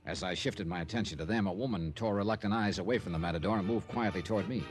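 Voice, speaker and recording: An actor playing a tough, wry American investigator, narrating drily in an old-time radio drama. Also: male voice, on the low side, fast, lightly acted. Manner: dry, sardonic. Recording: vintage radio broadcast, music behind.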